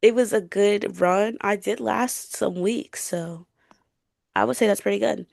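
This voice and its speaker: A woman's voice: mid-range voice, unhurried, gravelly, darkish tone, no echo, studio quality. A woman co-hosting a book podcast, chatting informally with youthful slang and frequent self-corrections. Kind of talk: casual chat about books.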